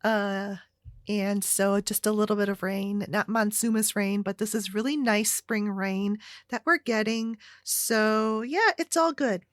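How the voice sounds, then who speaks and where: A woman's voice: medium pitch, steady tone, a little slow, dry recording, studio quality. An American woman hosting a solo podcast, chatting casually in a rambling way. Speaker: podcast host.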